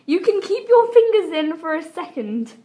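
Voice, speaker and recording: Woman reading as a high-voiced, soothing young man. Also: sing-song, moderate pace, mellow. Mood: firm, soothing. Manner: soothing, coaxing. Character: soft-spoken, coaxing, firm young man.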